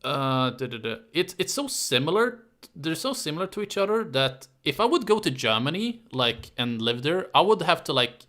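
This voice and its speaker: A man chats casually on a live stream, rambling and halting as he answers viewers. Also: a little high, bright, forceful tone. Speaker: YouTube history creator hosting a live stream.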